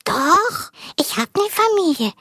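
Tiny nervous girl mouse, really high squeaky voice, stammers a lot, dry sound. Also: very animated, measured pace, pushed and bright, dry recording, full character voice. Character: timid chatty little female mouse. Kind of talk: children's TV puppet play.

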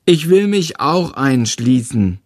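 A man's voice: mid-range voice, big pitch swings, takes it slowly, dry recording, studio quality, pitched above the performer's own voice. A man voicing a sulky, whiny little boy in an audiobook. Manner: whiny, pouting. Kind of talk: audiobook character voice.

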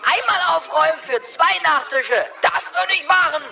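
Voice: very high-pitched, moderate pace, creaky, sharp tone, heavily acted. A performer voicing a whiny, greedy talking appliance with a childlike voice in a children's radio comedy. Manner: whiny, haggling.

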